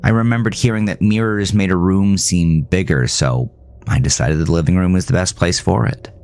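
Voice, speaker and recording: A man playing an uneasy man in his mid-thirties, narrating a horror audio drama in a measured, confiding, brooding way. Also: deep voice, darkish tone. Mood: reflective, intrigued.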